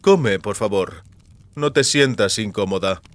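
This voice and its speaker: A man's voice: fairly low pitch, measured pace, close to an everyday voice. A man voicing a falsely polite, menacing sorcerer who holds a captive, in an audiobook. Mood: mock courteous. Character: male sorcerer villain, falsely polite, coldly menacing captor.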